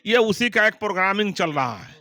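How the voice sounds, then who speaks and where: A forceful, high-pitched man answers as a political spokesman. His delivery is accusatory and repetitive, in a dry, close recording. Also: big pitch swings, fairly quick, dry recording.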